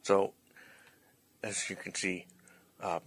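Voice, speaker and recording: A man hosting in a casual chat, talking in a halting, hesitant way. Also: low-pitched, flat delivery, a bit bright, husky, no echo. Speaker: host, writer-director of the play. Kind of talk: casual chat introducing bloopers.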